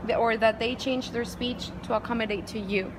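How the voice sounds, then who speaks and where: A woman moderating a focus group, asking questions in soft, brief prompts. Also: fairly high pitch, fairly even intonation, moderate pace. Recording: background noise.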